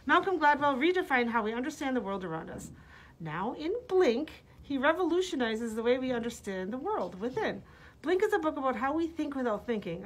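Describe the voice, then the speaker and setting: A middle-aged woman, a librarian reading book blurbs with casual asides, slightly muffled by a face mask. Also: high-pitched. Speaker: librarian presenting books. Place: library room with bookshelves.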